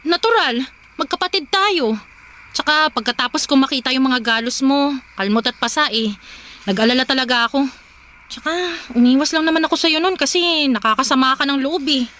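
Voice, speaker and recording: A woman's voice: sits high, fairly quick, pushed and bright, slight background noise. A woman playing a worried, reproachful younger sister in a Filipino radio drama, pleading and scolding. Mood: concerned, insistent.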